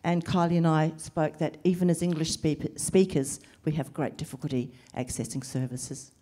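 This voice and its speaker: A middle-aged woman talks on a panel about caring for family, earnest and measured. Her voice sits low and mellow, with the weariness of someone short on sleep. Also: steady tone. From Lebanese family, raised in New Zealand and Australia. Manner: earnest, emotional, measured with pauses. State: sleep deprived. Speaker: panelist, carer and social worker. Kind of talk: panel discussion answers.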